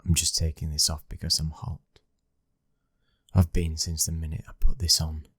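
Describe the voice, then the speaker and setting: A young British mafia heir, acted by a man, cold, dominant and protective. His very deep voice is husky and breathy, and barely rises or falls. He speaks slowly in a hushed ASMR roleplay, close and dry over faint music. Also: completely flat delivery, dry recording, exaggerated voice. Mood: softer, reassuring. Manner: slow, hushed, commanding. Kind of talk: ASMR boyfriend roleplay acting.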